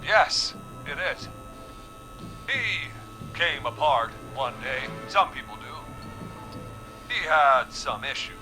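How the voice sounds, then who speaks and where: An actor playing a trapped, defensive man in a horror game, halting and evasive as he justifies himself. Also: mid-range voice, sing-song, music in the background. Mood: defensive, self-justifying. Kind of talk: scripted game voice acting.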